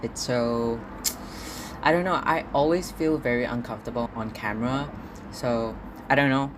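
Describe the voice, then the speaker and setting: A man's voice: medium pitch, moderate pace, noisy background. A young man from Singapore, talking alone in a casual podcast diary at home, ranting and swearing with stammered repeats. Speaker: podcast host.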